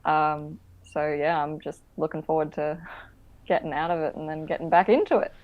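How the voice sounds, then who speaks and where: A woman's voice: sits low, steady tone, normal speed, phone-quality sound. A woman from Queensland, an actor talking as a podcast guest, hesitant with many fillers. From Queensland, Australia. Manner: hesitant, many ums, laughs while talking. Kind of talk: casual interview.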